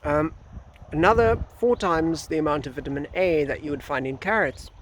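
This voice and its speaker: A man in his thirties with a South African accent and a slightly hoarse voice, hosting an informal gardening vlog outdoors on a phone. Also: fairly high pitch, animated, moderate pace. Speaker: gardening video host, nursery gardener. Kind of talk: informal vlog talk to camera. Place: outdoors in a breezy garden nursery. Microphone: phone at arm's length. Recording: outdoor phone recording with faint background noise.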